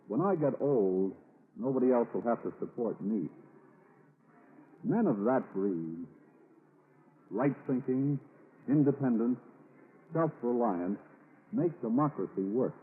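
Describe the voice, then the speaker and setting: A male radio announcer of the 1940s with a medium-pitched voice and formal, emphatic phrasing. His intonation swings widely, and the vintage recording has a narrow, telephone-like band. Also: lively intonation, slow pace, long pauses. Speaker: radio announcer reading sponsor message.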